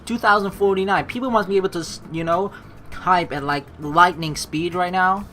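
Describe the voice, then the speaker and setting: A woman's voice: on the low side, normal speed, fairly bright tone, a little hiss or hum. A woman hosting a let's play, reading game text aloud and breaking off into casual, rambling asides. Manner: casual, rambling, self-correcting. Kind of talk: reading a visual novel aloud with chatty asides.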